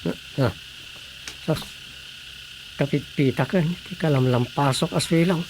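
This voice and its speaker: A man's voice: a little high, unhurried, noisy background, lightly acted. A man playing a grumbling, bantering village fellow in a radio comedy drama, with an Ilocano accent. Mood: teasing.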